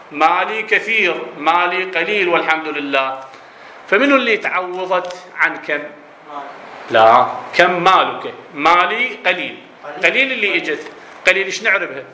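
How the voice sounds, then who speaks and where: A man's voice: sits high, bright, forceful tone, roomy sound, slight background noise, poor audio. A man with an Iraqi accent teaching a grammar lesson, explaining and quizzing his students, slipping into dialect. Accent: Iraqi Arabic. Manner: explanatory, asks students questions, slips into dialect. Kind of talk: classroom lesson on Arabic grammar.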